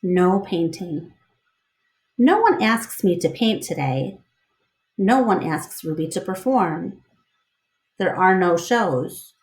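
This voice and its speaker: A woman narrating a children's book aloud as a storyteller, in an expressive read-aloud style. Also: fairly low pitch, reverberant.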